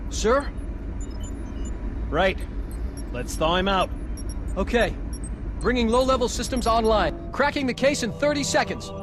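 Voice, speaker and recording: An actor playing a hurried, anxious ship technician making technical callouts in a sci-fi game. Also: male voice, extremely high pitch, expressive. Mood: focused, nervous. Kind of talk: sci-fi game cutscene acting. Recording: clear music behind.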